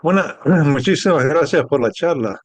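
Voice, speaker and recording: A man from the audience asking a question in a measured, thoughtful way. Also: on the high side, expressive, dry recording.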